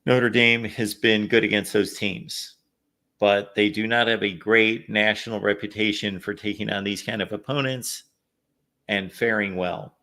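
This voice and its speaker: A man hosting a live call-in sports show, a little raspy from no sleep, chatting through viewer comments and game analysis. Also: on the low side, fairly even intonation, measured pace, pushed and bright. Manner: reads viewer comments aloud, conversational analysis. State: slightly raspy from lack of sleep. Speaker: host.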